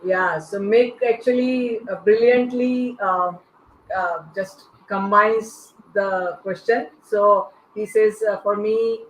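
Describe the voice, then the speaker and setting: A woman moderating an unscripted panel with hesitant, halting phrasing. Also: mid-range voice, steady tone. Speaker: panel host. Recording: echoey, faintly noisy online call.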